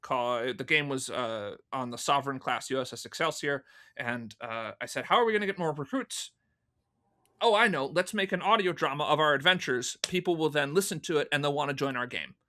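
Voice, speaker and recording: Male podcast guest, thirties, crisp forward tone, casual storyteller who voices his own remembered thoughts. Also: a little high, a little fast, pushed and bright. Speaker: podcast guest, audio drama producer.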